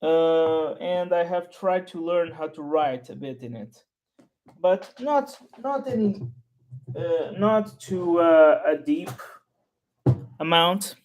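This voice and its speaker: A man whose first language is Portuguese, talking unscripted to his channel's viewers in a halting, rambling way full of restarts. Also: on the high side, a little mellow, poor audio. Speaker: history YouTuber. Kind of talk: unscripted solo talk to camera.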